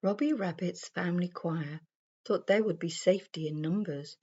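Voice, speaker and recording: A woman narrating a rhyming children's story in a deliberate, sing-song storybook manner. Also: on the low side, a little mellow, breathy edge. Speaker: story reader. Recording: dull, muffled lo-fi sound.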